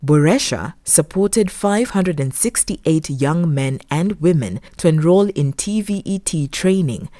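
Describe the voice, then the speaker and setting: Female documentary narrator, low and mellow, with lively intonation in a scripted read. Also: no echo, studio quality.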